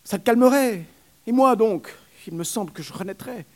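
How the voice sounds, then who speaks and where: A man's voice: lively intonation, normal speed. A man voicing a suffering young wet nurse, pleading in a raised, breathless voice. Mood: yearning. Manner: high, plaintive, breathless pleading.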